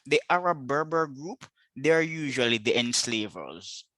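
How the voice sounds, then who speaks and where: A man from Jamaica with a Jamaican accent, hosting a scholarly interview in a measured way, sometimes repeating the first words of a phrase. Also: medium pitch, expressive, sharp tone, no room sound. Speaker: podcast host, interviewer.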